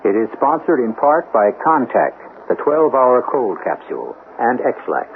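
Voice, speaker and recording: A man hosting and narrating a 1970s radio drama in a theatrical, deliberate, rhetorical manner. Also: medium pitch, very animated. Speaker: host and narrator. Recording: muffled old radio broadcast, clear hiss.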